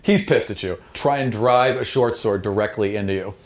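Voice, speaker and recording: A man's voice: fairly low pitch, normal speed. A man speaking as game master, casually explaining rules and narrating action in a tabletop game, with some swearing. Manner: casual, profane. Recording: faint background noise.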